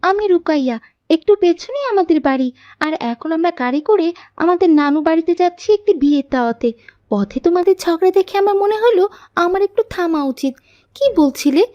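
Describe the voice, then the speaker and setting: A woman's voice: very high-pitched, animated, a little fast, dry recording, dull audio. A woman voicing a pious, earnest little girl in a moral cartoon, speaking politely and instructively. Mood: firm, admonishing. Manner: polite, didactic. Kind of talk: scripted moral cartoon dialogue.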